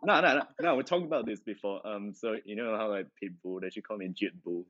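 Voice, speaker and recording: A man's voice: lowish voice, fast talker, a bit bright, muffled recording. A young man from Malaysia, a podcast guest, teasing and playful. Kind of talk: casual podcast chat.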